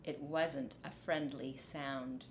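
A middle-aged woman reading a children's picture book aloud deliberately and expressively in a living room. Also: very deep voice, steady tone, echoey room, slight background noise. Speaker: storyteller, teacher. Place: living room, indoors on a couch.

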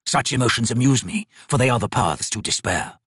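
A man voicing an ancient, sickly male god, speaking slowly and gravely with a sneer. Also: medium pitch, animated, fairly bright tone, dry recording, studio quality, exaggerated voice. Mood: mocking.

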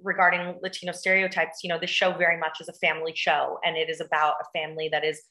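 A woman with an American accent speaks earnestly in an interview. Her voice is fairly low but bright and forceful, recorded over a video call in a very echoey room. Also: level tone, fast. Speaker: actress, interview guest.

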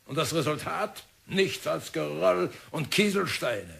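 An actor playing an impatient, scornful mining company boss in a German radio play, with a mocking, dismissive manner. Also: male voice, fairly high pitch, lively intonation, a little slow, creaky, a bit bright, heavily acted. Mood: amused scorn. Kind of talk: German radio drama acting.

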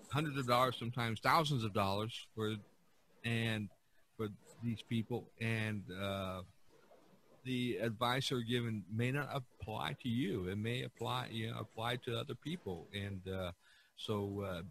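A man hosting a casual podcast discussion, speaking haltingly and drawing out some words. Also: lowish voice, fairly bright tone, a little hiss or hum. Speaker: podcast host.

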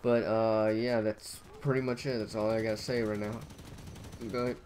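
Young male gamer host, lowish monotone voice, rambling casual chat, faint hiss behind. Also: normal speed. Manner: rambling, heavy on fillers. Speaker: gaming channel host. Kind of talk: casual gameplay commentary.

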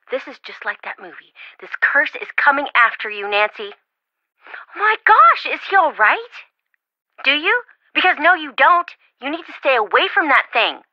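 An excitable young woman chatters over the phone in a game, her pitch leaping up and down as she jokes and frets. The audio is dry and a little dull. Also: dry recording. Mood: worried. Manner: excitable, jokey, worried. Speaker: phone friend of the detective. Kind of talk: video game voice acting.

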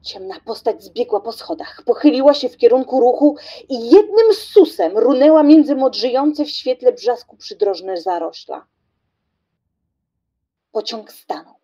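Female narrator for radio drama: mellow, breathy voice, scripted dramatic storytelling. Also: on the high side, sing-song, unhurried, muffled recording.

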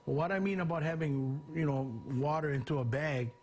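A man in his sixties demonstrating a cooking tip in a home kitchen, in a relaxed, folksy way with many fillers. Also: fairly high pitch, brisk. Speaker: chef.

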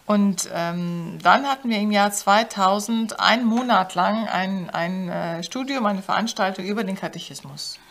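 An older woman interpreting a talk in a studio, halting, with many fillers and self-corrections. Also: mid-range voice, fairly bright tone. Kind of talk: consecutive interpreting. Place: studio with backdrop.

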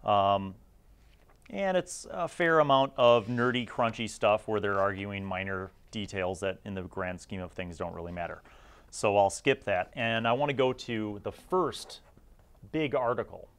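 A man's voice: on the low side, a little slow, smooth. A middle-aged man with a conversational, reflective manner, hosting a review series in casual commentary. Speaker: host of a gaming review series.

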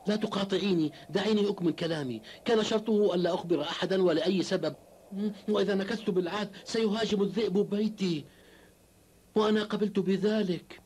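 A man's voice: sits high, fast talker, a little mellow, slightly rough. A man playing an idle, boastful young peasant husband in a cartoon dub, with a theatrical, exclamatory delivery.

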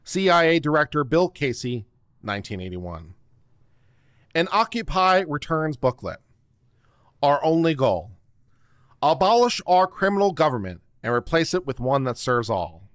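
A middle-aged man giving a theatrical, deliberate dramatic reading of a written text into a close microphone. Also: on the high side, very animated, fairly bright tone, no room sound. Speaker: online show host. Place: small home studio room. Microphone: close microphone on boom arm.

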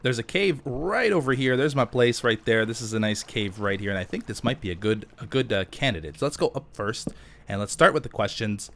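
A man with a mid-range voice commentates casually over a video game. He rambles and thinks out loud, drifting between the game and answering questions in an informal, chatty way. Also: mid-range voice, expressive, a little fast, slight background noise. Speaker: gaming YouTuber.

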